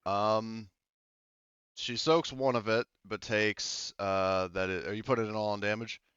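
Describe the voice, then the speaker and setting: Bright, clear-voiced man running a game. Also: lowish voice, steady tone, unhurried, dry recording, muffled recording. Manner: loose and chatty, many fillers, swears. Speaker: game master. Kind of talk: running a tabletop game, casual.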